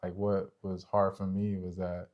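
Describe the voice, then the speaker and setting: A man's voice: deep voice, monotone, a little slow, dull audio. A young man with an American accent co-hosting a relationship show in loose, informal chat, often checking for agreement. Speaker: co-host of a couples' relationship show. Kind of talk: casual chat.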